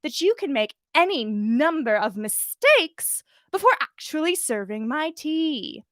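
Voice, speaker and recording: A woman acts out a haughty, prim older witch in a read-aloud story. Her pitch sits high and swoops with indignation. She is sickly sweet and scolding, enunciating each word with condescension. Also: big pitch swings, measured pace, a bit bright, no room sound, clean studio sound, full character voice. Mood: sweetly condescending.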